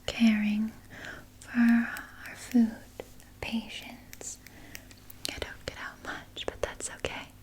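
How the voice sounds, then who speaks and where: A woman playing a sinister, soothing vampire nurse in an ASMR roleplay, speaking in a soft, breathy whisper with coaxing, falsely reassuring words. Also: fairly high pitch, low-quality recording. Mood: musing, faintly menacing. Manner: slow, coaxing, falsely reassuring, close personal address. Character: sinister, soothing female vampire nurse tending her captive patient.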